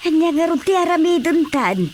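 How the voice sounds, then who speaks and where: A woman's voice: very high voice, very animated, moderate pace, darkish tone. An actress playing a worried village woman in a radio drama. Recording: radio drama with faint noise.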